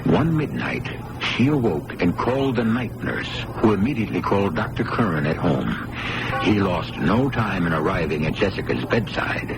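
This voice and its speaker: A man hosting and narrating a radio mystery in a measured, dramatic storytelling style. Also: sits low, sing-song, vocal fry, background noise. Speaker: host and narrator. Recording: old radio broadcast with music.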